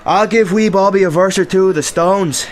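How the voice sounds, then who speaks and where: A man's voice: high voice, normal speed, lightly acted. A man acting a grumbling, teasing pub companion in a radio play. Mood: grumbling banter. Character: gruff, needling Irish pub drinking companion.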